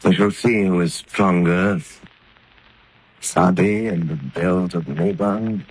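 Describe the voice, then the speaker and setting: An actor playing a calm, determined gentleman crime-fighter who speaks in a measured, steady way in the style of 1930s radio drama. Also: sits low, breathy edge, heavily acted, poor audio.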